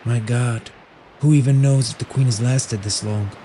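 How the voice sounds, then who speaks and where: A man's voice: medium pitch, fairly even intonation, moderate pace, mellow, a little hiss or hum, pitched above the performer's own voice, natural voice. A man voicing a blunt, practical sea captain in an audio drama. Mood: frustrated.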